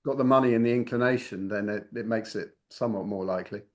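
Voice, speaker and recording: A man's voice: lowish voice, fast. A man hosting an interview, asking long, winding questions full of fillers and restarts. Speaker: interviewer, host. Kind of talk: conversational interview.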